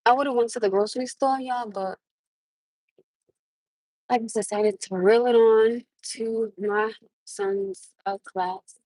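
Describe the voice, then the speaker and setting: A woman's voice: a little high, animated, slow, long pauses, a little mellow, no echo, low-quality recording. A woman from the United States chatting live with her viewers from her parked car on a phone camera, laughing often and calling them "my love.". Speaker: livestream host. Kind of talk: casual live chat with viewers.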